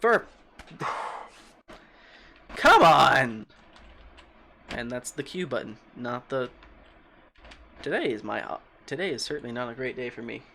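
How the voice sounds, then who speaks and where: Male game streamer, calm voice, middle pitch, rambling and chatty with viewers, faint typing behind. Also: slow, gravelly, long pauses, a little hiss or hum. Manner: rambling, thinking aloud and answering chat. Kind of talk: casual livestream chat while playing.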